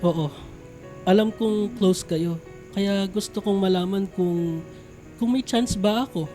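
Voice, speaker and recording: A lovestruck young Filipino man in a radio drama, earnest and anxious. His voice is high for a man and soft-edged, with faint music behind. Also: slow pace. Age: young adult.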